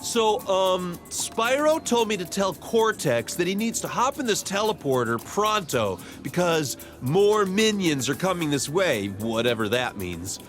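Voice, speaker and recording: A man's voice: sits high, big pitch swings, measured pace, few pauses, fairly bright tone, exaggerated voice. An actor playing a polite, slightly clueless male villager in a video game, hesitant and rambling, over music. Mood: puzzled, friendly. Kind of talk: video game character acting.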